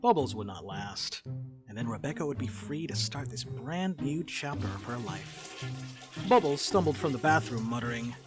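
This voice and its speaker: A man narrating a dramatic reading as a storyteller, with expressive, scripted delivery. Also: mid-range voice, normal speed, music in the background.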